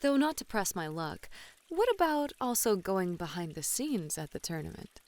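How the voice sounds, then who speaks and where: Casting: actress as playful female model and gamer at a hot spring, mid-pitched, flirty and sweet-talking, for ASMR audio roleplay. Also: sing-song, moderate pace, close to an everyday voice. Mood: eager, pleased.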